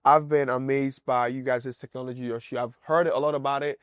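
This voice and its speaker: A man's voice: medium pitch, flat delivery, brisk, clean tone, no room sound, highs cut off. A man hosting an entrepreneur show, opening it in an upbeat, emphatic way before interviewing his guest. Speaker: podcast host. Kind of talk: enthusiastic show intro and interviewing.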